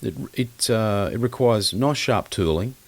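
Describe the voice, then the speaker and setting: A man's voice: fairly low pitch, lively intonation, measured pace. A man talking casually as an expert guest in a podcast interview, opinionated and blunt, often rambling as he explains things. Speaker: guest loudspeaker designer and maker.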